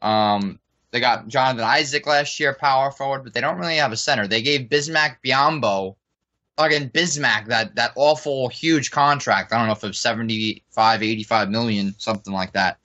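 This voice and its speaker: A man's voice: mid-range voice, normal speed, bright, forceful tone. A man making casual draft picks on a podcast panel, rambling and a bit profane. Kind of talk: casual sports draft chat. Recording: dull lo-fi recording.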